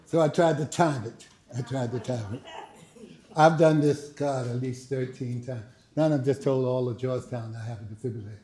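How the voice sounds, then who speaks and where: An older man giving a speech to a live audience, first a dramatic reading and then a relaxed chat, laughing. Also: normal speed. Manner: booming oratory with rising emphasis; chatty, laughing, folksy asides. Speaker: speaker and author. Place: library event hall. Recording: echoey room.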